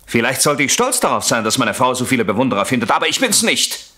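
An actor playing a suspicious, jealous husband in a German radio crime play, mock-polite and needling, on a noisy old recording. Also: male voice, mid-range voice, very animated, fast, bright, forceful tone. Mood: sardonic.

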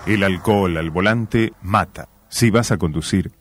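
Deep announcer over loud music. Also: male voice, a little slow. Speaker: public service announcer. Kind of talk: scripted road safety announcement.

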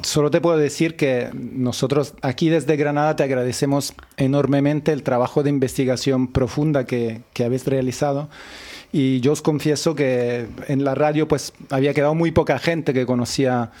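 A man's voice: mid-range voice, steady tone, normal speed, dark tone. A man hosting a radio show, introducing guests in a chatty, joking way. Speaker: radio host. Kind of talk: on-air radio interview.

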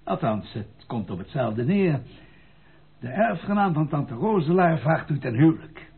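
A lively old grandfather, vintage radio. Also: fairly high pitch, measured pace, gravelly, full character voice. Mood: pleased, triumphant. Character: old, money-minded, fond grandfather. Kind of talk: Dutch radio play acting. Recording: narrow, noisy old radio play recording.

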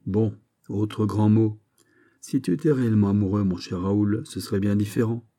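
A man playing a gruff, middle-aged musketeer captain in an audiobook, blustering and mocking, with fatherly sarcasm. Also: fairly low pitch, fairly even intonation, normal speed, dark tone, lightly acted. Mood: teasing scepticism. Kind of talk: dramatised audiobook of a historical novel.

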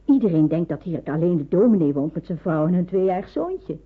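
Watchful, lively-toned woman in hiding. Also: on the low side, brisk, husky. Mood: practical, cautioning. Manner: explanatory, cautioning. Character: watchful practical nurse in hiding, instructing newcomer. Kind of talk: Dutch radio drama acting. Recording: narrow phone-like old radio recording.

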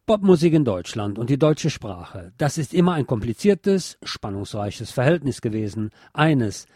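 A man's voice: medium pitch, dark tone, dry recording, studio quality. A man reading a scripted essay as a radio narrator. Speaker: narrator reading a book chapter.

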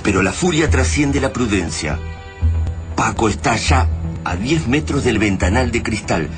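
A man's voice: mid-range voice, expressive, a little slow, music in the background, lightly acted. A man with an Argentine accent narrating a radio drama in a grave, suspenseful, dramatic manner.

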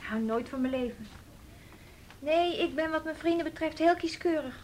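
A woman's voice: high voice, moderate pace, darkish tone, slight background noise, lightly acted, rough recording. An actress playing a cheeky, defiant rich teenage girl in a naturalistic radio drama, with a pert, scornful manner.

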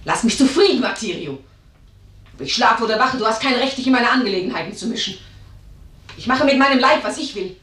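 A woman's voice: a little high, lively intonation, brisk, a bit bright. An actress in a German radio drama playing a passionate young woman, impatient and fiercely defiant. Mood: irritated. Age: young adult. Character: defiant, passionate young daughter of a strict household. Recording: roomy, echoing radio drama recording.

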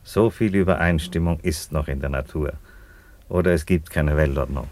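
Unflappable ironic emperor, radio comedy-drama: very low voice, close dry sound, deadpan. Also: male voice, moderate pace, dry recording. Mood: ironic, calm. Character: unhurried, ironic Roman emperor fond of his chickens. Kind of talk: German radio play acting.

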